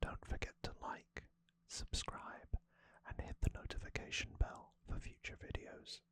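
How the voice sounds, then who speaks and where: An ASMR host giving a relaxed recap in a soft, unvoiced whisper, with casual fillers and small asides. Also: unhurried.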